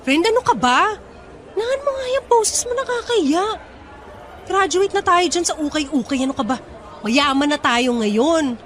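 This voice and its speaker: A woman's voice: high voice, expressive, normal speed, fairly bright tone, a faint crowd. A woman with a Filipino accent playing an emotional, vulnerable young woman in a radio drama. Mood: giddy, carefree. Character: tearful battered young woman, frightened and clinging.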